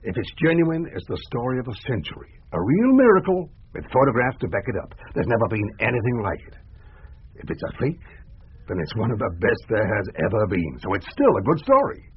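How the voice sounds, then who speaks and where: An actor playing a greedy, persuasive American press photographer in a radio drama, with a rough, husky voice. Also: male voice, mid-range voice, very animated, a little fast. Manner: wheedling, calls younger man boy. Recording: muffled 1980s radio drama recording.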